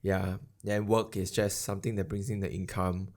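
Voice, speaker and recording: A man's voice: deep voice, level tone, normal speed, a little mellow. A man, co-hosting a podcast in a rambling, reflective chat. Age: 30s. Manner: rambling, reflective, ends phrases with lah. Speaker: podcast co-host. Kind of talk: casual chat.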